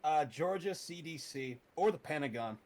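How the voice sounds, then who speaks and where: A man's voice: on the high side, lively intonation, moderate pace. Young adult male guest, horror narrator, laid-back and profane, casual chat. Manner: laid-back, swears often, laughs a lot.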